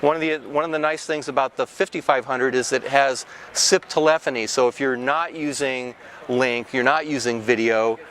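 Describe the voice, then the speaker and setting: Halting male product demonstrator, noisy hall. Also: medium pitch, steady tone, a bit bright. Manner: halting, explanatory delivery with repeated false starts. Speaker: company representative demonstrating products. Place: large indoor exhibition hall booth. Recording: clear ambient trade show floor noise.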